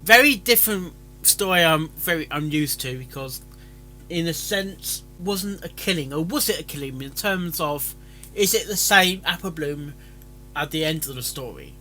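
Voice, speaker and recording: A man with a high, very bright voice reacts casually to a story. His delivery is halting and repetitive but swings widely in pitch, as a reaction host. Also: expressive, faint music behind, rough recording. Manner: halting, repeats himself. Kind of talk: casual off-the-cuff commentary.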